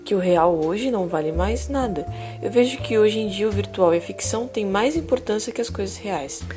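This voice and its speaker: A woman playing a calm female therapist in an audio drama, gently joking with her patient, with clear music underneath. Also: medium pitch, fairly quick, natural voice.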